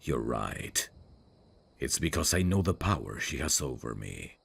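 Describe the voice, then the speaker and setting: A man playing a brooding dark fantasy prince in an intimate ASMR roleplay, tender and lovesick, with a husky, gravelly voice and a hushed, formal delivery. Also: a bass voice, very animated, slight background noise, heavily acted. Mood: anxious longing. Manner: slow, hushed, formal and halting. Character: brooding evil prince, tender and lovesick toward old friend. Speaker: voice actor.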